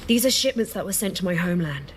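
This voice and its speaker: A woman's voice: on the low side, animated, fast talker, natural voice. Woman acting rebel leader, proud, self-assured, formal delivery, video game cutscene, faint ambient noise. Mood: urgent. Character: proud, composed Indian woman leading a rebellion.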